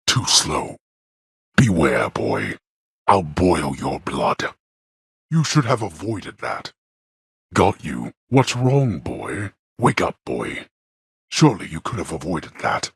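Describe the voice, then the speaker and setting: A man voicing a stern, scornful god of the dead and imperious father in a video game, with a booming, gravelly voice and formal, archaic phrasing. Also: big pitch swings, slow pace, dry recording, clean studio sound, full character voice. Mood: menacing, commanding. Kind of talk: video game character voice acting.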